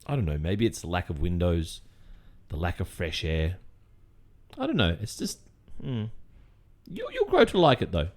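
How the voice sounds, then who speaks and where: An Australian man playing a sweetly possessive, delusional kidnapper boyfriend in an intimate ASMR roleplay, speaking haltingly with hesitant murmurs. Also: deep voice, huge pitch swings. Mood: sheepish. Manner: halting, drawn-out phrasing with hesitant murmurs and nervous chuckles. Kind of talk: ASMR roleplay, intimate monologue addressed to listener.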